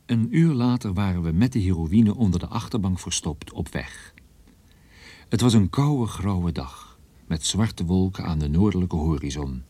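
Low, dark-voiced detective narrator. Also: male voice, lively intonation, husky, no room sound. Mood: dry, ironic. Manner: dry, ironic, measured storytelling. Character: wry male private detective narrating his own story. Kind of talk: first-person radio drama narration, noir.

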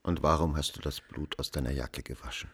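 A man's voice: very deep voice, steady tone, brisk, a bit bright, husky, exaggerated voice. An actor playing an investigating magistrate who questions and narrates in a radio play, pressing, condescending and literary in his wording. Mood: probing, impatient.

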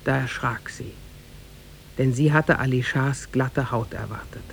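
A male narrator tells a tale in formal, literary phrasing. His pitch sits in the middle and his delivery is measured, in the manner of a 1950s radio play. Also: vocal fry, a little hiss or hum. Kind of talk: scripted storytelling narration, 1950s German radio drama.

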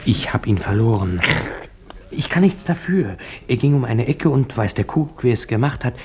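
A man's voice: medium pitch, animated, normal speed, mellow, slightly rough. An actor in a German radio play playing a junior policeman, deferential and defensive as he reports to a superior. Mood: flustered. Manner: deferential, defensive, military replies.

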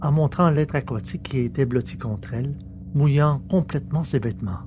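A man's voice: mid-range voice, a little fast. A man reading scripted literary science fiction narration as the storyteller. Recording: clearly noisy background with hum.

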